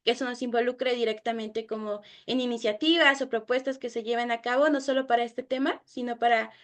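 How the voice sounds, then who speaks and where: High-voiced young woman explaining earnestly. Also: fairly even intonation, brisk. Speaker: youth project guest. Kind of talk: informal panel presentation.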